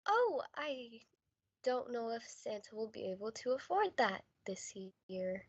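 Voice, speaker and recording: A woman's voice: fairly high pitch, big pitch swings, measured pace, slightly rough, no echo, highs cut off, heavily acted. A woman playing an exasperated, cash-strapped mother in a comic radio sketch, with melodramatic delivery. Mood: strained sweetness.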